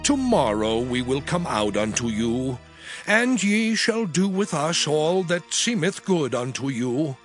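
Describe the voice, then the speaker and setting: A man's voice: a little high, very animated, takes it slowly. An actor playing a besieged townsman who speaks formally for his people in a scripture drama. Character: besieged townsman, spokesman making a formal promise of surrender. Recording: music bed behind the voice.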